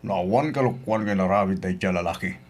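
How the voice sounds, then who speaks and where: A man's voice: low-pitched, fairly quick. A man with an Ilocano Filipino accent acting a worried, protective middle-aged father in an old-time radio drama, serious and emphatic. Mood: worried, suspicious.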